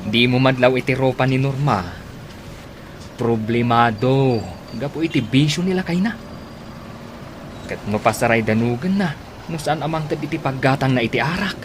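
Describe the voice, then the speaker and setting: A chatty man with an Ilocano accent acts a driver buddy in a radio drama. He is informal and animated at a middling low pitch, amid clearly noisy vehicle ambience. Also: moderate pace, pauses often, close to an everyday voice. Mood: gossiping, grave.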